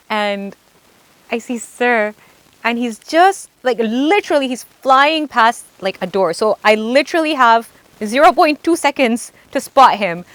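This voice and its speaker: Animated young woman telling stories. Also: on the high side, no echo. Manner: animated, laughing, acts out quotes. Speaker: singer, interview guest. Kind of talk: storytelling in an interview.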